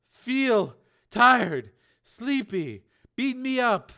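Injured son, played by a male narrator, high-pitched, drugged and slurred, weak, apologetic, for audio fiction. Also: big pitch swings, very slow, pushed and bright, full character voice. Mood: groggy, sorry. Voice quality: thick, slurred. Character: battered, sedated, apologetic son, groggy and weak.

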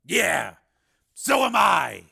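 A man voicing an angry, commanding renegade police lieutenant in an audiobook, with forceful, clipped, demanding delivery. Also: sits high, wildly swooping pitch, very slow, vocal fry, slightly rough, pitched above the performer's own voice, heavily acted. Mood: grim, firm. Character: defiant male cyborg police lieutenant on the run, commanding, angry.

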